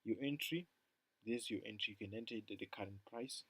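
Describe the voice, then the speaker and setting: Man with a lowish voice, casual chart tutor, rambling, loudness swings from loud to mumbled. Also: brisk, breathy edge, no room sound. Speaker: forex trading tutor. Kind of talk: casual screen-recorded chart walkthrough.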